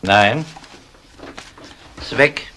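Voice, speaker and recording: An actor playing a composed, probing police detective in a crime radio play, questioning politely and methodically. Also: male voice, medium pitch, lively intonation, extremely slow, background noise. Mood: calm, businesslike.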